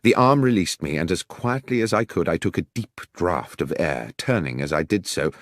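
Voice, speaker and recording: A man reading a detective audiobook as a dramatic first-person narrator, expressive and full of suspense. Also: deep voice, normal speed, no echo, studio quality.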